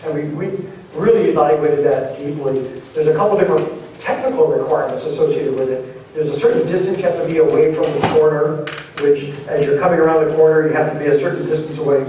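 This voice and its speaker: A man's voice: on the high side, mellow. A man presenting a housing development at a public meeting, explaining patiently and often hedging with "kind of". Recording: echoey hall from far off, faint noise.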